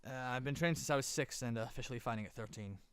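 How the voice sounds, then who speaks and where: An actor playing a sarcastic, troubled young monster slayer in an amateur drama. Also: male voice, mid-range voice, rapid-fire, fairly bright tone, lightly acted. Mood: subdued. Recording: noisy amateur mic.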